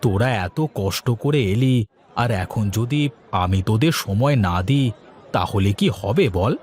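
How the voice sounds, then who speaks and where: A man's voice: medium pitch, lively intonation, measured pace, darkish tone, lightly acted. A man playing a generous, practical middle-aged village shopkeeper in a moral-story cartoon, talking in a colloquial, avuncular way. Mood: genial.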